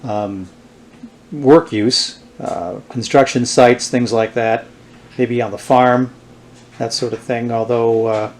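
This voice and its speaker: A man with a medium-pitched voice presents an informal product demonstration. He speaks without a script, in a halting and unhurried way, as someone showing items piece by piece. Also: steady tone, a little mellow. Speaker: presenter showing vintage radio gear. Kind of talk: unscripted show-and-tell demonstration.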